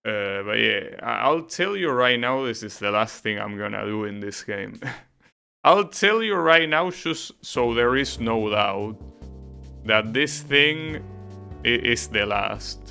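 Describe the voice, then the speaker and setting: A man with an Argentine accent, casually commenting on his racing game to a live-stream chat in a relaxed, musing way. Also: medium pitch, takes it slowly, vocal fry, clear, resonant tone, soft background music.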